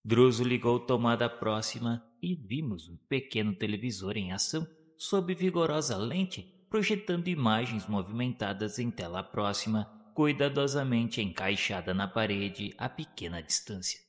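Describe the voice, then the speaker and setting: A man's voice: medium pitch, animated, normal speed, few pauses. A Brazilian man narrating a radio drama in a formal, solemn, literary manner, as a scripted storyteller. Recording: long reverberant hall echo.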